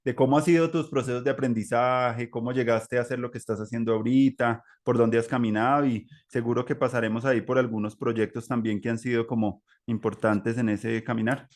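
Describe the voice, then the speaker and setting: A man's voice: medium pitch, steady tone, brisk, few pauses. Colombian man hosting, meandering and conversational. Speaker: interview host. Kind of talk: conversational interview, reflective.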